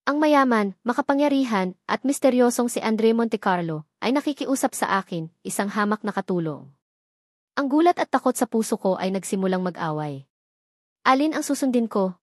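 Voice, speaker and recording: A woman's voice: on the high side, lively intonation, normal speed, no room sound, clean studio sound. A woman with a Filipino accent reading a scripted, dramatic first-person story as narrator.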